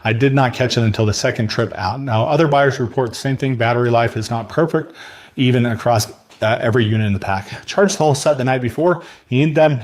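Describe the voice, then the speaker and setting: A man delivering a scripted product review countdown in a salesy manner, speaking directly to the viewer. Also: mid-range voice.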